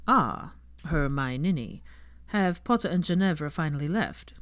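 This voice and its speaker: A woman's voice: low-pitched, expressive, measured pace, dry recording. A woman voicing a wry young man in a storybook reading, with a languid, drawling manner. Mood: smirking. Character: young man, wry, languid, uneasy before his mother.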